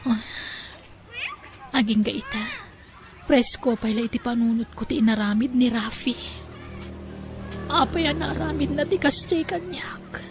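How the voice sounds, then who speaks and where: A young woman with an Ilocano accent playing an earnest, sentimental daughter in an audio drama, heartfelt and expressive. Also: high voice, slight background noise, full character voice. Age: young adult.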